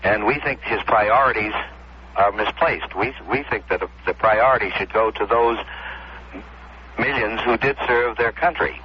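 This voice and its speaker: A man with an American accent giving a formal, deliberate interview statement as a spokesman. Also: on the low side. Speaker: veterans' organization spokesman. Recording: dull news tape, faint hum.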